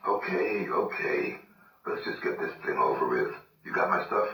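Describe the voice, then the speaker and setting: An actor playing a weary, tough hero haunted by his dead brother, talking gruff and impatient. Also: low-pitched, lively intonation, moderate pace, bright, forceful tone. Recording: echoey, distant game audio, noisy.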